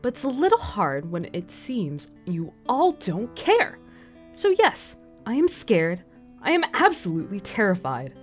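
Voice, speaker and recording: A woman's voice: medium pitch, wildly swooping pitch, unhurried, soft background music. A woman playing a stern, protective captain of a stranded crew in a radio drama, firm and commanding. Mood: frightened. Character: stern, protective female crew captain, stressed but caring.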